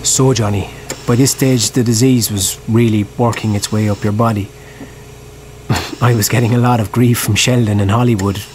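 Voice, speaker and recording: A man's voice: fairly low pitch, steady tone, moderate pace, darkish tone, slight background noise, natural voice. An actor playing a reflective screenwriter who narrates his script in an earnest, confessional first person for an audio drama. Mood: confiding.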